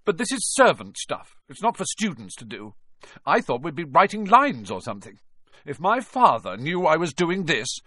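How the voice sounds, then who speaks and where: A man's voice: fairly high pitch, huge pitch swings, normal speed, pushed and bright, no room sound, pitched above the performer's own voice, heavily acted. A man reading an audiobook aloud, voicing a haughty, frightened rich schoolboy with a snobbish, whiny manner. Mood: scared.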